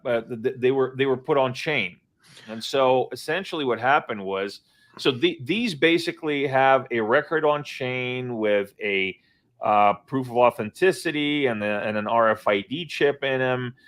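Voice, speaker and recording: A man's voice: mid-range voice, a bit bright. A man chatting casually from his home office, rambling with many fillers and restarts. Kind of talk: casual live-stream chat.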